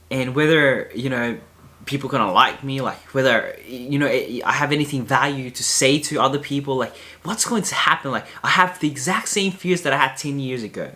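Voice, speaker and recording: A young man with a high-pitched voice talking casually and candidly to camera in a vlog, rambling with many fillers and restarts. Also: pushed and bright. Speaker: vlogger.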